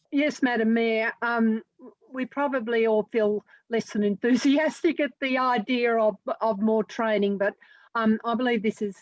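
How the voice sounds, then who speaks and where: A woman speaking as a councillor in support of a motion, in a measured way with frequent ums and restarts. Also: on the high side, no room sound. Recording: dry, dull lo-fi sound.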